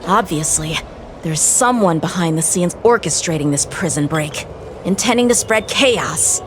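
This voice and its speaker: A woman's voice: fairly low pitch, animated, over music. An actress voicing a short, dutiful boy lieutenant who speaks earnestly and protests readily. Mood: determined. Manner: earnest, determined, quick to protest. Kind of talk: game voice acting.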